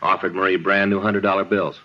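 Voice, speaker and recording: Quick, low-voiced cop partner, played by a man. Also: little pitch movement, over loud music, close to an everyday voice. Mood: businesslike. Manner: casual, clipped. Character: plainspoken male police detective, the businesslike partner. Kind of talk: 1950s radio crime drama acting. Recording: muffled, slightly noisy 1950s radio recording.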